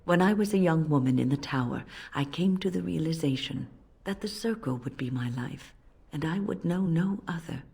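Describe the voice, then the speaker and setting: Fantasy game mentor: older female mage, deep voice, measured, reflective, gentle but firm. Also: animated, gravelly, slightly rough, heavily acted. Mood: wistful, reflective. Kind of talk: dramatic game dialogue.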